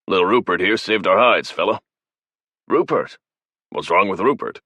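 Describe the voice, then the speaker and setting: A man voicing a tough male soldier boss, gruff, profane and commanding. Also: low voice, expressive, moderate pace, pushed and bright, no echo, full character voice.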